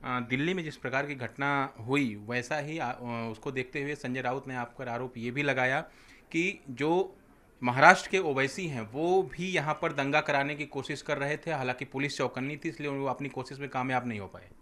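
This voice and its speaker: A man's voice: medium pitch, normal speed, sharp tone. A man working as a TV news reporter, delivering a field report and interview questions in a formal reporting manner.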